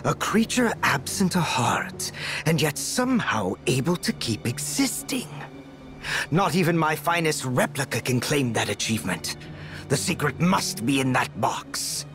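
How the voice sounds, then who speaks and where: A man playing a vain, scheming villain scientist in a video game, speaking in a theatrical, pompous, drawn-out way. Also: a little high, big pitch swings, music in the background. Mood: fascinated, scheming.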